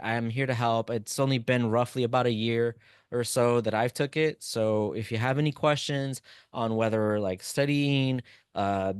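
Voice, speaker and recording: A man whose first language is Spanish, teaching a live online class and talking to students in chat in a relaxed, encouraging way. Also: medium pitch, smooth, dry recording, clean studio sound. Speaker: instructor.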